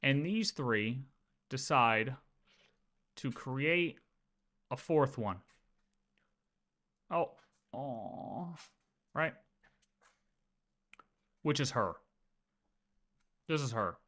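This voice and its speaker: Bright, punchy male streamer explaining game lore. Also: medium pitch, very slow, pauses often. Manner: animated, informal, repeats phrases, often checks with "right?". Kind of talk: casual livestream lore explanation, chatting with viewers.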